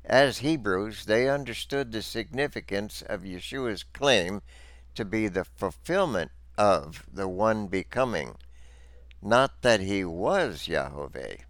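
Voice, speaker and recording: A man delivering scripted religious teaching narration and scripture readings in a formal, deliberate, instructive manner. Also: on the low side, a bit bright, dry recording.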